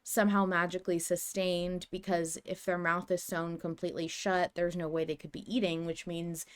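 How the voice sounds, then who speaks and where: A woman's voice: on the low side, level tone, moderate pace, clear, resonant tone. A woman hosting a podcast, talking through ideas in a casual, musing fan discussion. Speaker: podcast host.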